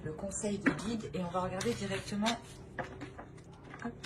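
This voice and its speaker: A woman giving an informal spiritual tarot reading, halting and repeating herself as she searches for words. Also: mid-range voice. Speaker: tarot reader hosting a channel. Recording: clearly noisy recording with ambient sound.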